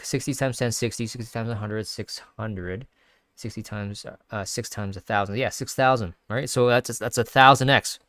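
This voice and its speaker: A man's voice: on the low side, steady tone, normal speed, a bit bright, no echo, clean studio sound. A man hosting a casual video, thinking aloud with many fillers and restarts while sniffly from sneezing. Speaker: crypto video host. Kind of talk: casual screen-share commentary.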